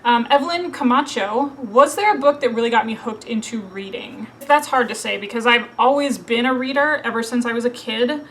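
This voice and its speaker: A woman in her thirties with a slightly high voice, chatting loosely to camera about books. Her talk is full of fillers and easy laughs, and a faint hiss sits under the recording. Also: a little fast, hardly any pauses, a bit bright, low-quality recording. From Minnesota, USA. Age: 30s. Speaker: book vlogger. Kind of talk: casual Q&A to camera.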